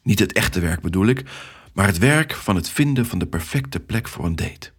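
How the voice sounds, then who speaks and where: A male storyteller with a lowish, husky voice narrates a children's tale in the first person. The delivery is scripted storytelling, told as the main character's own account. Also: expressive, moderate pace.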